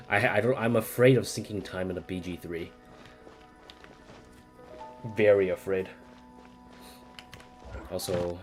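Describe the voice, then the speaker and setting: A game streamer giving casual live commentary, swearing freely and playfully, shifting between muttering and exclaiming. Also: low-pitched. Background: game music.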